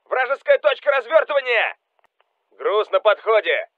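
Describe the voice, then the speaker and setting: An actor playing a Russian special forces soldier calling out commanding military game lines over a radio. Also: male voice, extremely high pitch, very animated, moderate pace, no room sound, phone-quality sound. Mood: alert, firm. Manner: urgent, commanding.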